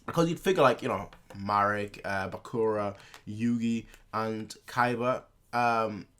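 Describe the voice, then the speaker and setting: A man's voice: on the low side, measured pace, fairly bright tone, smooth. Rambling young man chatting casually. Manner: rambling, heavy use of filler words and restarts. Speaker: reaction video host.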